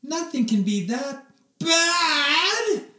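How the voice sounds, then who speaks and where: A man voicing a worried, sugary mother who coaxes gently and draws out her words in a children's storybook. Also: very high voice, big pitch swings, echoey room, voice pushed up, exaggerated voice. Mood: reassuring. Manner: sugary pleading, drawn-out words. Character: worried, coaxing mom trying to stay calm.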